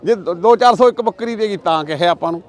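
Loud older Punjabi man selling goats. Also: high voice, fast talker. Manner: loud, pushy seller's patter, calls people "shera". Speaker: goat seller. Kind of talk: casual bargaining chat. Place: outdoor livestock market.

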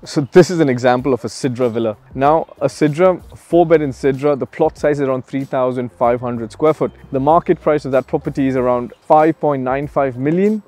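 A man's voice: medium pitch, fairly quick, hardly any pauses, a little mellow, soft background music. A man giving an emphatic, salesy promotional presentation as a real estate agent, putting questions to the viewer.

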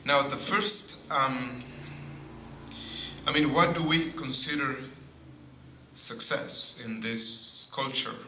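A middle-aged priest preaches from a pulpit at a very slow, measured pace. His voice is sharp and bright. The boom mic catches the echo of the church and a faint hiss. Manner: slow, deliberate preaching with pauses and frequent "see". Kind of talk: sermon. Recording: echoey church with faint noise.

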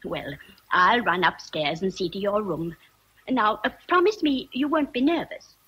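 An actress playing a fussy, kindly older housekeeper in a radio drama, chatty and hesitant. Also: female voice, mid-range voice, lively intonation, a little slow, fairly bright tone, breathy edge, full character voice, low-quality recording. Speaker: actor.

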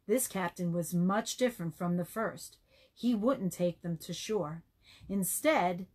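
A woman narrating a children's story, reading in measured, short phrases. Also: medium pitch.

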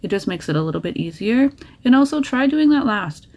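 A woman's voice: medium pitch, big pitch swings, fairly quick, darkish tone. A woman talking casually through a nail art tutorial, chatty and encouraging, sharing little tips as she works.

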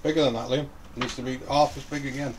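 A man's voice: medium pitch, steady tone, fast talker. A man chatting casually with children in a home kitchen. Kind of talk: casual chat. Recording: noisy handheld camera audio.

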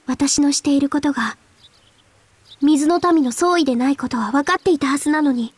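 An actress voicing a gentle, earnest and quietly resolute teenage girl in a Japanese video game, hesitant in manner. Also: sits high, a little fast, dry recording, lightly acted. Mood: subdued, reflective.

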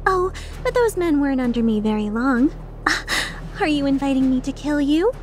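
A woman's voice: high-pitched, lively intonation, brisk, a little mellow, slight background noise. A woman voicing a cocky female mercenary leader in a video game fan dub, playful, sarcastic and taunting with an edge of menace. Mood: teasing menace.

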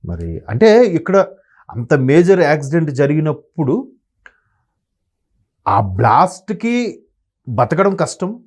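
A man's voice: on the high side, wildly swooping pitch, slow pace, long pauses, a little mellow. Man giving sombre analyst commentary. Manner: rambling, many restarts, sombre. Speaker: analyst guest. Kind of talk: conversational commentary.

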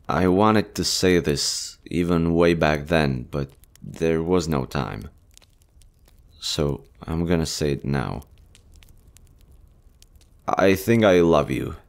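Man playing a low-voiced tender army general in love. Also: heavily acted. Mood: tender, confessing. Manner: tender, earnest, hesitant phrasing. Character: smitten male army general, gentle, strategic, tender lover. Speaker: voice actor. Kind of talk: romantic roleplay audio acting.

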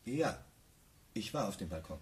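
Hesitant confused man, lively intonation. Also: on the low side, normal speed. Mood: hesitant, polite. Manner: hesitant, evasive. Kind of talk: radio drama dialogue.

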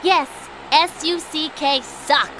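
A woman's voice: sits high, slow pace, slight background noise, heavily acted, low-quality recording. A woman playing a haughty, sassy young female racer in a video game cutscene, taunting and condescending. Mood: scornful. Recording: loud music behind the voice. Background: loud music.

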